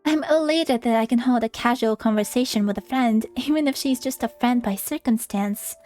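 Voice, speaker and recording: A woman's voice: fairly high pitch, moderate pace, darkish tone. A woman streaming a visual novel, reading it aloud expressively and adding casual commentary. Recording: music playing behind the voice. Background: game music.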